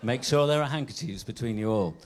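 A man's voice: medium pitch, brisk. A man hosting an onstage interview at a festival, asking questions with enthusiasm. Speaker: festival stage host.

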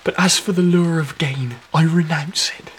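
An actor playing a humble, devout young manservant, effusive and tearful, in a period radio drama. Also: male voice, a little high, fairly even intonation, normal speed, slight background noise, close to an everyday voice.

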